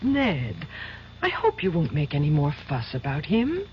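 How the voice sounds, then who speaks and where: A woman's voice: low-pitched, wildly swooping pitch, a little slow, exaggerated voice. An actress playing a playful, coaxing young woman who turns insistent, in a 1970s radio drama. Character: teasing, coaxing young woman, stubborn underneath. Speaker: lawyer's girlfriend and boss's daughter. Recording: dull lo-fi old radio broadcast with faint hiss.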